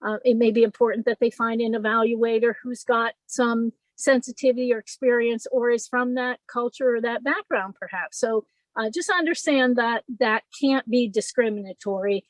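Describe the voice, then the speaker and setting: A woman's voice: a little high, steady tone, moderate pace, no room sound, low-quality recording. A woman presenting a parent-rights webinar, explaining casually with frequent fillers and restarts. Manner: friendly, many fillers and restarts.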